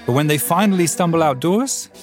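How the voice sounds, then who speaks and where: A man's voice: fairly high pitch, expressive, brisk, soft background music. A man hosting a podcast, narrating a scripted intro and then asking questions conversationally with fillers. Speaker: podcast host.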